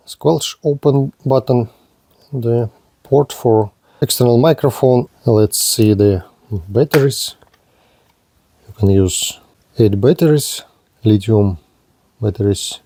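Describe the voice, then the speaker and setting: A man reviewing and demonstrating a radio, speaking in slow, halting. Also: mid-range voice, gravelly, mellow, breathy edge, no echo. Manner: slow, halting non-native phrasing. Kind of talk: product demonstration.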